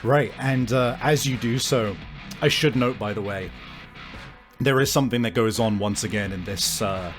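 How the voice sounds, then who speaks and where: A man narrating a horror tabletop game as game master, describing things vividly with hesitant pauses. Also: lowish voice. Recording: music bed behind the voice.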